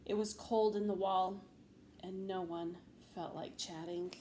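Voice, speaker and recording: A woman reading a children's story aloud expressively, with measured phrasing and deliberate pauses. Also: medium pitch, fairly even intonation, mellow. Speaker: storybook reader, teacher. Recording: faint background noise.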